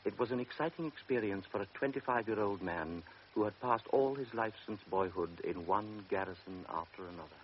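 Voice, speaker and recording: A man narrating a radio drama in a measured, grave manner. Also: fairly low pitch, a little hiss or hum. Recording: dull old radio recording with music.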